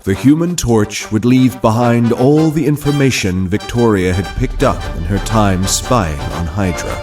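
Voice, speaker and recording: Audio drama narrator male actor: lowish voice, dramatic war storytelling, clear music bed underneath. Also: unhurried, recorded from a distance, close to an everyday voice.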